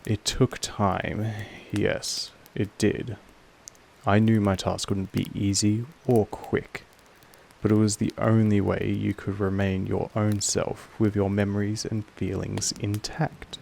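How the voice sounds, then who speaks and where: A man voicing a possessive, patient, commanding vampire master in a roleplay audio monologue, speaking in a slow, deliberate way with weighty pauses. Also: level tone, a little mellow, a little hiss or hum. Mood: controlled, quietly menacing. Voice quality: low, creaky. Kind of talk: scripted roleplay audio monologue addressed to the listener.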